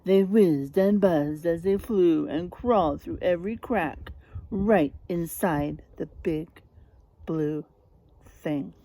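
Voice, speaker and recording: A woman reading a picture book aloud like a teacher, expressive and dramatic, pausing to put questions to young listeners. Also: lowish voice, no room sound. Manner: animated, dramatic delivery with drawn-out words.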